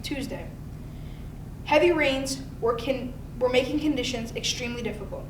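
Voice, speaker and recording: A woman reading a scripted narrative aloud, occasionally stumbling over her words. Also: moderate pace. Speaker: volunteer audiobook reader from a school community. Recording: roomy, distant, noisy with low rumble.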